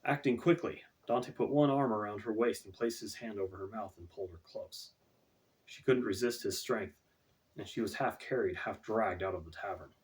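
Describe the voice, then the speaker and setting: A man's voice: fairly low pitch, a little mellow, low-quality recording. A man reading his own fantasy novel as scripted audiobook narration, recorded away from the microphone.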